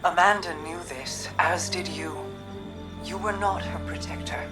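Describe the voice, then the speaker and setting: Game role for a woman: composed monarch giving solemn comfort, very measured and slow, quiet formal delivery over music. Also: lowish voice, natural voice. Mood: solemn, consoling. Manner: measured, formal, soft-spoken. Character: regal, composed queen, grave and consoling. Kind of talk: dramatic video game cutscene acting.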